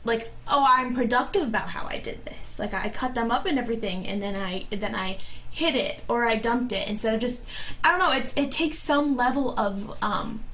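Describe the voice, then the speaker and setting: Chatty, fairly high-voiced woman. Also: moderate pace, fairly bright tone, a little hiss or hum, rough recording. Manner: chatty, lots of "like", emphatic reactions. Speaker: podcast co-host. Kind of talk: casual true crime chat.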